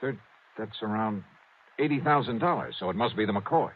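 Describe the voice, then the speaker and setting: Lively hard-boiled radio investigator. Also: male voice, lowish voice, normal speed, husky. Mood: wry, businesslike. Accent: American. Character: brisk, wry, hard-boiled male insurance investigator. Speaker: lead actor and narrator. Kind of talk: 1950s radio acting and first-person narration. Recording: narrow old radio recording with music.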